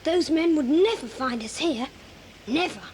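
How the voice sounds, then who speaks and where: A woman's voice: high-pitched, animated, a little slow, a little mellow. A woman playing a keen, daring young adventurer with an English accent in a children's audio drama. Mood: excited. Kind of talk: children's radio drama acting. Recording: hissy old cassette tape.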